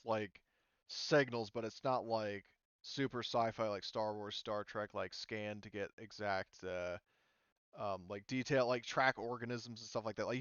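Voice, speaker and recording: A man with a low, very clear voice talks casually as a tabletop game master. He stops and restarts as he thinks aloud. The sound is close and dry, slightly dulled by the microphone. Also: a bit bright, no echo, highs cut off. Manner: halting, thinks aloud mid-sentence.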